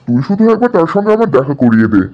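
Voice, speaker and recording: Eager young cartoon bachelor, high, lively male voice, dull audio played by a man. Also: rapid-fire. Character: eager, confident young bachelor seeking a bride. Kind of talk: cartoon dialogue.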